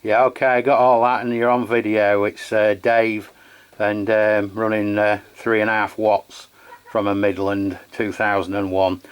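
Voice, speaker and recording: A man's voice: lowish voice, little pitch movement, measured pace, clear, resonant tone. A man working as a radio operator, making on-air CB test calls.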